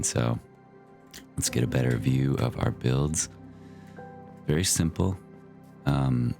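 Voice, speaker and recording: Very deep, gravelly-voiced gaming man. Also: a little mellow. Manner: easygoing and chatty, with loose fillers. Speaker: Minecraft builder and channel host. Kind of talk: casual gameplay commentary.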